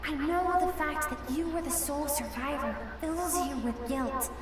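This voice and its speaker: A gentle, childlike spirit of emotion , acted by a woman, pleads in a high, sincere voice. It is earnest video game acting, heard distant and heavily echoed over loud music. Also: moderate pace, natural voice. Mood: tender.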